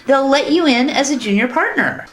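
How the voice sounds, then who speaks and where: Wife played by a woman: cheerful fixer, soothing and affectionate, highish lilting voice with wide swings, radio sitcom. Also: expressive, moderate pace. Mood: breezy, soothing. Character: cheerful, managing, affectionate wife smoothing things over. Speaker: actress in a radio play. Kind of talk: comedic radio play acting.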